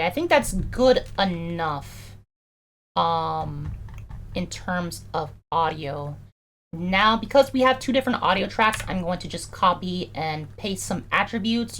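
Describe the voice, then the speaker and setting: A young adult streamer with a high-toned voice, chatting casually and filling every pause with "like" and "um" while working through audio edits live. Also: fairly bright tone, a little hiss or hum. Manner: chatty, heavy use of "like" and "um".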